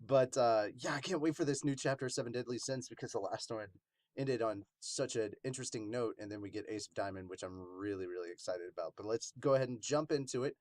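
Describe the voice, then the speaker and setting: A man's voice: mid-range voice, quick pace, a little mellow, no echo. A man hosting a manga podcast in casual chat, with congested sinuses. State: congested sinuses, sniffing and throat clearing. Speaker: podcast host reviewing manga.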